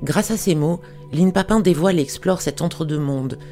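A radio host introducing a guest and asking measured, formal questions. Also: mid-range voice, dark tone, soft background music.

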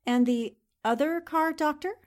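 Woman acting a high-voiced, shrewd, polite 1940s newspaperwoman. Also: unhurried, a little mellow. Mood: courteous, probing. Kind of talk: 1940s radio drama acting.

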